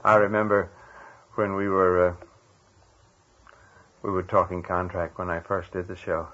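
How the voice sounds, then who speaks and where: An older man speaks in a low voice as an interview guest, reminiscing casually about his acting work. He is halting and often backs up to begin again. The recording is dull and lo-fi. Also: level tone, a bit bright. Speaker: retired radio actor interviewed as guest.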